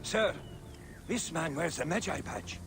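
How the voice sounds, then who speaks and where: A helpful underling at an arena in a video game. His high, lively voice is deferential, with music behind it. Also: measured pace. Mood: deferential, urging. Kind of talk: video game cutscene acting.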